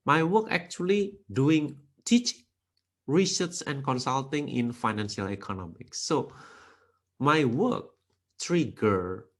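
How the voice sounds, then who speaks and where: A man with an Indonesian accent gives a programming tutorial in careful, non-native English, lecturer style. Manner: slow, careful, with non-native grammar slips; lively intonation with sudden pitch rises.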